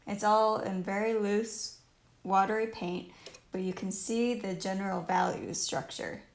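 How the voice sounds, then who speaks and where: A woman with a croaky, creaky voice gives a casual painting tutorial at an unhurried pace. She thinks aloud in short phrases as she works. The live stream sounds roomy. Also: mid-range voice, steady tone. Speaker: painter teaching on a livestream. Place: indoors at home, live stream while painting. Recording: roomy live stream with faint noise and clicks.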